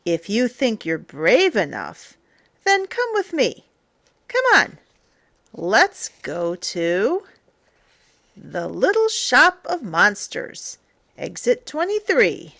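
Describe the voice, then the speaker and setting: A woman reading a children's picture book aloud as a storyteller, in a theatrical, playful, emphatic way with drawn-out words. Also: medium pitch, wildly swooping pitch, vocal fry, a bit bright. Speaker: storyteller, teacher.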